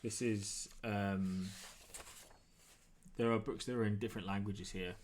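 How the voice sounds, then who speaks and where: A man with a fairly low voice acts as game master at a tabletop game. He talks conversationally, feeling his way through descriptions as he makes them up. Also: steady tone, a little hiss or hum. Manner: conversational, improvised, halting descriptions. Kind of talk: narrating a tabletop role-playing game.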